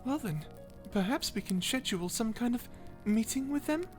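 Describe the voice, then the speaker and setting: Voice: mid-range voice, expressive, moderate pace, darkish tone, soft background music, pitched above the performer's own voice, natural voice. A performer voicing a kind guardian angel in an ASMR roleplay, soothing, earnest and encouraging. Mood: bright, polite. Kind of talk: ASMR roleplay character acting.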